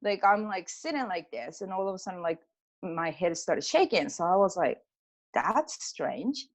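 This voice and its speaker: Lower-voiced Japanese-accented woman, casual. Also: lively intonation, normal speed. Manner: informal, peppers talk with "like" and acts out quoted exchanges. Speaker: guest, pianist and energy healer. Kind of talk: casual personal storytelling in an interview.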